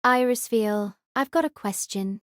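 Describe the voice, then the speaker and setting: A woman's voice: a little high, a little slow, no echo, natural voice. Woman voicing a female knight, dutiful, earnest, protective, formal phrasing, audio drama, very dry recording. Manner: formal, deferential.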